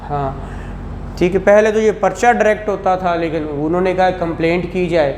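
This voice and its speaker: A man's voice: high voice, steady tone, moderate pace, darkish tone. A man with a Pakistani accent giving a classroom law lecture in a didactic way, asking rhetorical questions and repeating key phrases. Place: indoor classroom. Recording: echoey classroom with faint noise.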